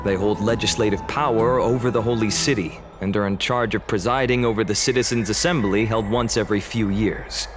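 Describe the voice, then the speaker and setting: A low male voice over prominent background music, an actor playing a courteous young scholar-warrior in a video game. He speaks formally and earnestly, setting things out in measured, careful phrases. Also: natural voice. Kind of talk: scripted video game dialogue.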